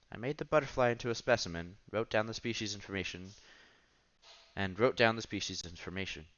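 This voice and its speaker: A man's voice: on the low side, fairly bright tone, highs cut off. A man streaming a visual novel, reading the text aloud with casual commentary and stumbling over words now and then. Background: game music.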